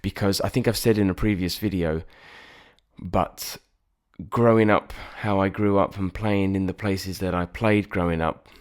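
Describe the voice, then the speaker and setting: Male singer-songwriter, deep nasal voice, rambling and self-critical vlog chatter. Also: steady tone, normal speed. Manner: rambling, self-deprecating, frequent restarts. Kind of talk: reflective casual vlog talk.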